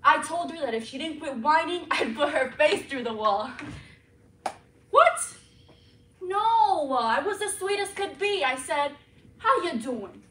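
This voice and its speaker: A woman's voice: sits high, animated, measured pace, a bit bright, a little hiss or hum. A woman playing a brash, threatening tough girl. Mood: bragging. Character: brash, belligerent young woman patient named Pat. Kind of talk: dramatic monologue. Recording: echoey room, mic far off.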